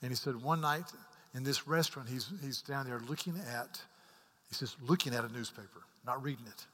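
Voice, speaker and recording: A man preaching a sermon as a pastor, earnest, repeating words as he rises to emphatic peaks and drops to hushed asides. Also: medium pitch, fairly quick. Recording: long hall reverb.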